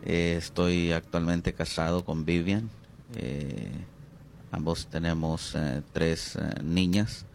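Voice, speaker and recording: A middle-aged man with a Honduran accent, a pastor speaking as an interview guest, hesitant and reflective with many drawn-out fillers. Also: sits low, steady tone, creaky, darkish tone, soft background music.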